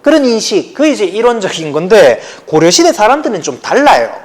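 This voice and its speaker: A man with a Daegu accent, teaching a high school history class online in a relaxed, chatty way. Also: brisk. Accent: Gyeongsang (Daegu) Korean. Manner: chatty, informal, talks to students directly. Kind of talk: casual online lecture.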